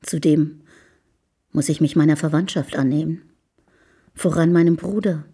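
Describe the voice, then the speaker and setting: An actress playing a guarded, down-to-earth middle-aged housekeeper of the early 1800s in a radio play, speaking plainly and tersely. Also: female voice, deep voice, steady tone, normal speed, dark tone, slightly rough, full character voice. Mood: guarded, dry. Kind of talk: German radio play acting.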